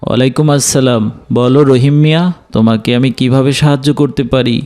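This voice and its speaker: A man playing a kind, helpful village neighbour in a cartoon, calm and reassuring. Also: mid-range voice, fairly quick, natural voice. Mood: kindly. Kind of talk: animated moral story dialogue.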